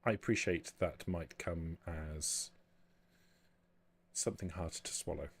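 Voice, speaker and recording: A man plays a formal vampire woman in a tabletop roleplaying game, very low and husky. She speaks in measured, careful phrases, guarded and quietly scheming. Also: steady tone, full character voice. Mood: grave, guarded. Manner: measured, formal, deliberate diction.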